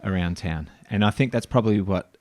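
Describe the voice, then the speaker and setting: A man hosting a podcast interview, talking warmly with frequent ums and restarts. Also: on the low side, brisk, no echo. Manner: warm, hesitant with many ums and restarts. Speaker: podcast host.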